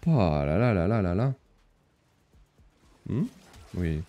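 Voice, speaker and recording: A man's voice: low-pitched, expressive, slow pace, mellow, soft background music. A man in his thirties chatting casually while streaming a game, talking with lots of hesitant fillers and reading chat aloud. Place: small room with purple lighting.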